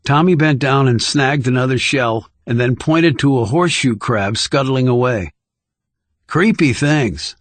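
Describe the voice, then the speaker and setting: Low-pitched male audiobook narrator. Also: moderate pace, few pauses, dry recording. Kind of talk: scripted thriller narration.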